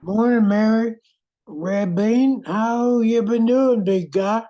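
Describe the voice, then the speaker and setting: A man playing a gruff but good-souled Cajun shrimp boat captain in an amateur radio play. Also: sits high, slow, dark tone. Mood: friendly.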